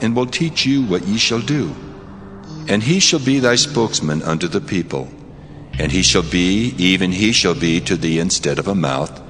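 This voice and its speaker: In a vast reverberant space, a man plays a very deep-voiced God. He speaks with solemn, declamatory authority, issuing commands in a scripture audio drama, with music underneath. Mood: stern, authoritative. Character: God, commanding and solemn male deity giving orders. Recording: long cavernous reverb over music.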